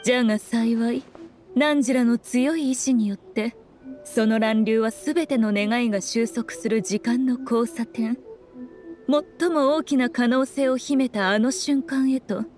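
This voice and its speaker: A woman voicing a sly, regal fox shrine maiden in an anime game, with measured, knowing, old-fashioned diction. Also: on the high side, darkish tone, soft background music, lightly acted. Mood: explanatory, composed. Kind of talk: Japanese anime game voice acting.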